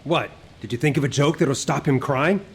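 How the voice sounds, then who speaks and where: A man's voice: fairly high pitch, moderate pace, slightly rough. An actor playing an upbeat, teasing male squad leader in a video game cutscene. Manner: teasing, commanding.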